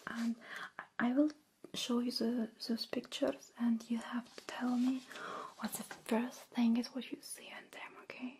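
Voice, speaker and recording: Woman, non-native English, soft whisper, high voice, halting reassuring phrasing, gentle alien roleplay, ASMR. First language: not English. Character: gentle, friendly alien woman examining a visitor.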